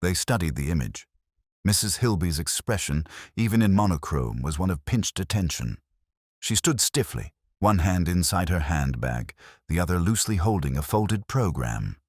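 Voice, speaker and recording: A man with a very deep voice narrates a mystery story. His delivery is measured and deliberate, yet expressive in pitch, with a dry, close sound. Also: dry recording.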